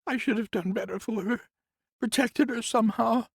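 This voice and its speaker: A man's voice: very high-pitched, moderate pace, vocal fry, husky, no echo, clean studio sound, pitched above the performer's own voice, heavily acted. A man voicing a grieving woman in her fifties, an old family nurse, in a tearful, wavering voice for an audiobook. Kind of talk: audiobook character voice.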